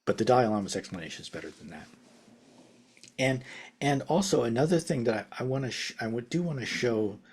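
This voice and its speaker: An older man teaching casually over a video call from his home study. His talk rambles, with restarts, chuckles and phrases that trail off softly. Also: mid-range voice, lively intonation, brisk, breathy edge. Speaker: meditation teacher. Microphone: video call webcam.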